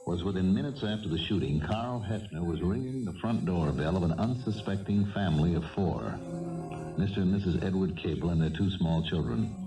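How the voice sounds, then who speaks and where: An actor playing a reassuring police lieutenant in a 1970s radio drama, measured and matter-of-fact. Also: male voice, low-pitched, echoey room, recorded from a distance, low-quality recording. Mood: grave, factual.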